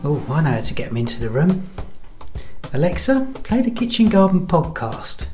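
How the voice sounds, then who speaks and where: A woman acting in a scripted comic podcast promo, chatting in an exasperated, lively way. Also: sits low, normal speed. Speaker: promo presenter. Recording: music behind.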